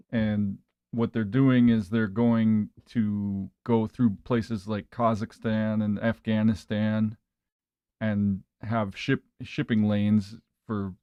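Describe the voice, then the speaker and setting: A man's voice: on the low side, steady tone, takes it slowly, no echo. A man in casual live discussion on a talk show, heard through a dull, lo-fi connection. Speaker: talk show co-host or guest.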